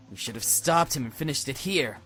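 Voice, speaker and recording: A man's voice: mid-range voice, fairly bright tone, close to an everyday voice. A man voicing an earnest young demon in a visual novel, explaining things emphatically and reassuringly. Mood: regretful.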